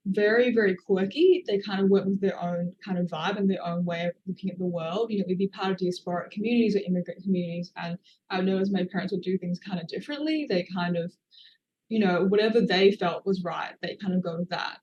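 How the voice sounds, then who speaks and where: A woman's voice: mid-range voice, fast, a little mellow, highs cut off. A woman, an author, answering interview questions in a thoughtful, hedging way with many fillers. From Australia. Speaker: author guest.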